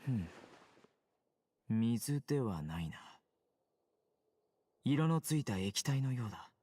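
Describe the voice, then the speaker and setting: A man's voice: medium pitch, big pitch swings, moderate pace, warm tone, slightly rough, no room sound. A man playing a serious, observant young investigator in a video game, speaking in a matter-of-fact, analytical way. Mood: examining. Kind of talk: Japanese game voice acting.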